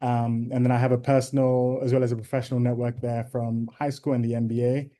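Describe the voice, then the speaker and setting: A young man from Ghana, a guest on a video call interview, answering in a measured, thoughtful way with frequent ums. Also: medium pitch, little pitch movement, dark tone.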